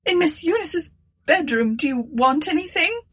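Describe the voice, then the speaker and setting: A woman's voice: high-pitched, expressive, normal speed, fairly bright tone, pitched above the performer's own voice. A woman voicing an anxious, grieving old serving woman with a plaintive manner, in a gothic storybook reading. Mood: attentive. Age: older.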